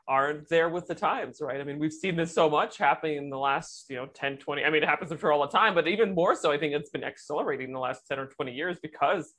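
A woman's voice: low-pitched, fast talker, hardly any pauses, a bit bright. A woman hosting a podcast interview, agreeing enthusiastically and asking her guest questions. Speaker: podcast host.

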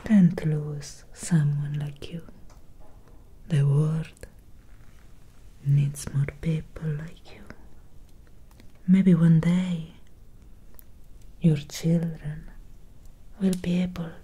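A young woman playing a gentle, melancholy Victorian vampire doctor in a breathy, soothing ASMR whisper, close to the microphone. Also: deep voice, flat delivery, heavily acted. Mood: calm, caring. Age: young adult. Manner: slow, soothing, hushed. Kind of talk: soft-spoken ASMR medical roleplay.

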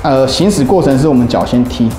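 A fast-talking man, explaining step by step how to use a rowing machine. His pitch is ordinary for a man. The room sounds roomy, and music plays clearly in the background. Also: lively intonation. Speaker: fitness equipment instructor. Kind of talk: instructional demonstration. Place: small indoor office gym.

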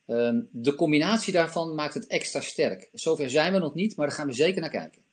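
A man in his fifties giving a live software demo and answering questions on a video call, talking with many fillers from a home office. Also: fairly high pitch, expressive, a little fast. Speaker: company presenter. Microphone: laptop webcam on video call.

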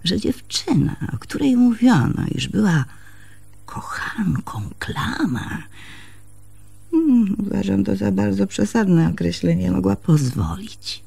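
Husky, creaky, scornful innkeeper's wife. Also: female voice, mid-range voice, big pitch swings, dark tone, heavily acted. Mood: indignant, aggrieved. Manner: emphatic, deliberate, with dramatic pauses. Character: shrewd, protective innkeeper's wife, worldly and scornful. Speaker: radio drama actress. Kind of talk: Polish radio play acting.

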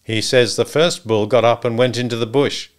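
A man voicing a gruff, unflappable male hunter who talks in terse, hearty, clipped phrases. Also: mid-range voice, normal speed, lightly acted. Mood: brisk, gruff.

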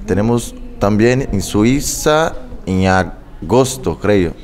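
A man in his thirties, a native Portuguese speaker with a Brazilian accent, talks casually into a handheld mic on a restaurant talk-show set. His voice sits a little low, and his Spanish comes out hesitant, with Portuguese words slipping in. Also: animated, slow, a little mellow. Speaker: guest, show producer. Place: restaurant set with studio audience.

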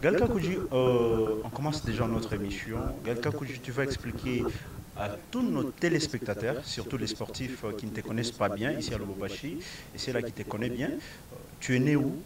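A young Congolese-accented man hosting a sports interview, halting and repetitive in his phrasing, on a handheld mic outdoors. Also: mid-range voice, lively intonation, distant mic, crowd noise, poor audio. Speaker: sports show host. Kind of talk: broadcast interview. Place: open-air football pitch. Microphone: handheld microphone.

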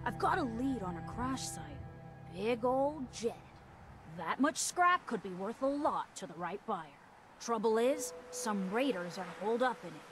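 An actress voicing a brisk, businesslike young scavenger woman in a video game, matter-of-fact and streetwise. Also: a little high, sing-song, music in the background.

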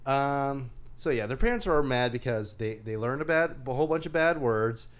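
The guy on a movie podcast who haltingly and wryly walks his co-host through a film's story. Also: mid-range voice, animated, smooth. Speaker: co-host of a movie discussion show. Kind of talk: casual chat, recapping a film.

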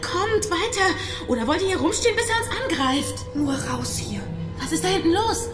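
A woman's voice: very high-pitched, lively intonation, normal speed. A female actor playing a bold, urgent young girl who leads her friends in an audio drama. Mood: urgent, bossy. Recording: echoey, faint music behind.

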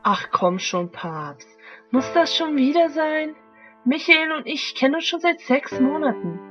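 An indignant daughter of a mafia boss, barely grown acted by a young woman. Her high voice moves a lot in pitch, exasperated and dramatic, with prominent music behind it in this audio drama. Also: expressive, unhurried, dull audio. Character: indignant 21-year-old mafia boss's daughter.

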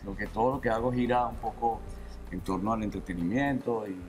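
A man's voice: on the low side, fairly quick, a little hiss or hum. A man, a guest musician, chatting casually and joking on a talk show. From Venezuela. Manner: relaxed, rambling, joking. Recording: music faintly behind.

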